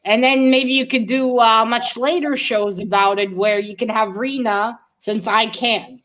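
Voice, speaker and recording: A woman with a fairly high voice hosts a casual book discussion. She speaks in a halting way, doubling back on words as she chats. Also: fairly even intonation, fairly bright tone, rough recording. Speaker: host of a book read-along show. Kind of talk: casual chat.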